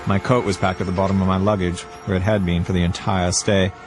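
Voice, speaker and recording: Music plays under a low male voice. A man acts as a weary, brooding storyteller, narrating a hardboiled tale in a measured, reflective manner. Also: little pitch movement, natural voice.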